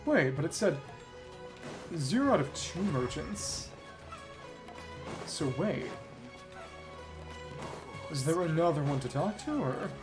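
A woman with a very low-pitched voice commentates on a game as she plays. Her intonation moves around a lot as she muses aloud and reads out lines, with music playing behind her. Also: expressive, slow, pauses often. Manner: musing aloud, switching between reading and reacting. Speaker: game streamer. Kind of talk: live gameplay commentary, reading dialogue aloud.

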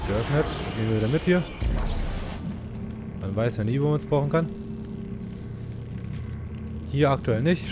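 A man's voice: medium pitch, noisy background. A man giving casual, slangy gaming commentary, playfully griping and talking to the game's characters. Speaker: let's player.

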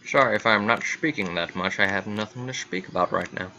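A man's voice: on the low side, a little fast, sharp tone, highs cut off. A man giving casual, rambling gameplay commentary, talking to viewers and to himself. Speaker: gamer commentating own gameplay. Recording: clearly noisy amateur recording.

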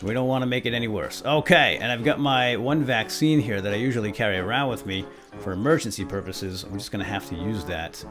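A man's voice: on the low side, fairly quick, over music. A man hosting a gaming video with playful, exclamatory role-play commentary, talking to himself and his viewers.

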